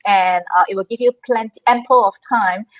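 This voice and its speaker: A woman's voice: mid-range voice, brisk, dry recording, low-quality recording. A woman university representative giving earnest, encouraging advice in a live online Q&A over a video call.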